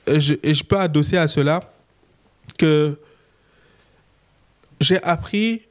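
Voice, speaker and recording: A man's voice: on the high side, takes it slowly. Young Chadian man telling stories animatedly. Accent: Chadian French. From Chad. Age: young adult. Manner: animated, acts out quoted dialogue. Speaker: guest lawyer. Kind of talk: casual interview storytelling.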